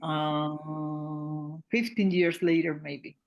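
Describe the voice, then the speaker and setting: Woman in her sixties, halting English. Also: low-pitched, fairly even intonation. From Venezuela. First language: Spanish. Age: 60s. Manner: halting English, searching for words. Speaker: meditation group participant. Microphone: video call.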